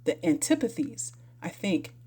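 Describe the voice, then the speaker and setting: A curious young girl from a storybook, voiced by a woman reader, chattering and wondering aloud to herself. The tone is mellow and gentle, with pitch that rises and falls in a lively way. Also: a little slow, breathy edge. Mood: curious, prim. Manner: musing aloud, self-questioning. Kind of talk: storybook character reading.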